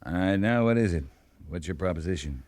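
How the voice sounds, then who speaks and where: An American actor playing a level-headed, humane cavalry captain, firm but fair, in a western radio drama. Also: male voice, sits low, expressive, a little fast, a little mellow. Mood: measured, probing.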